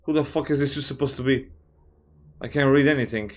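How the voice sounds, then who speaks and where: Slightly raspy man doing gameplay commentary. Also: medium pitch, brisk, darkish tone. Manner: swears often, thinks aloud, reacts with surprise. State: slightly raspy from just waking up. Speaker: gamer playing a horror game. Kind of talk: casual let's-play commentary.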